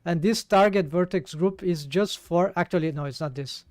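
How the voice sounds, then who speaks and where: A man's voice: on the high side, moderate pace, a little mellow, dry recording, clean studio sound. A man with a Brazilian accent, working through a 3D rigging task live and thinking aloud with many fillers and restarts. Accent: Brazilian Portuguese. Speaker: 3D rigging streamer. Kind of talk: live tutorial while working.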